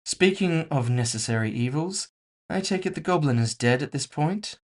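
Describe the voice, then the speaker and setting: A composed, scheming woman executive from a cyberpunk audio drama, voiced by a man lifting his pitch. The delivery is polite and casual on the surface, with smug mockery and condescension underneath. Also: expressive, normal speed. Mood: coolly dismissive. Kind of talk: audio fiction character dialogue.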